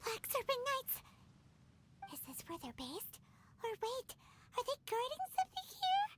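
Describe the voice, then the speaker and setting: Very high-pitched childlike fairy sidekick. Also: female voice, wildly swooping pitch, measured pace, slight background noise, exaggerated voice. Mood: excited, alarmed. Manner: exclamatory, inquisitive. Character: small excitable childlike fairy companion, female, curious. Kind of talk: video game character acting.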